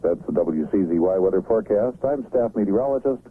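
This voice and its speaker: A man's voice: fairly low pitch, lively intonation, fairly quick, husky. An American man working as a radio meteorologist, reading a scripted forecast on a muffled 1980s radio recording.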